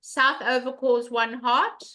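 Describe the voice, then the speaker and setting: An older woman with a loud voice teaching an online bridge class, emphatic and repeating questions to her students over a webcam. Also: on the high side, fairly even intonation, takes it slowly.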